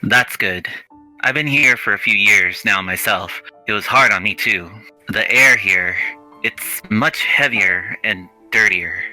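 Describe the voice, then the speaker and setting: A gentle, bookish middle-aged shopkeeper from a visual novel, read by a man, speaks with measured courtesy. The voice sits fairly low yet has a very bright, sharp edge. Music plays softly behind. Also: poor audio. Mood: wistful.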